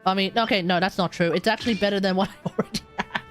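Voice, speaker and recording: A man chatting casually and excitably on a gaming livestream, rambling and correcting himself as he goes. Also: sits high, rapid-fire, soft background music. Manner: animated, rambling, exclamatory, self-correcting. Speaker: live streamer.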